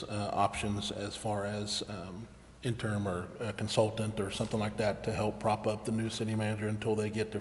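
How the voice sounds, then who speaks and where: Casting: American man as meeting chair, lowish voice, heard in a big echoing chamber with faint noise. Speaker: mayor chairing a council meeting. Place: council chamber. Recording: echoey hall, faint background noise.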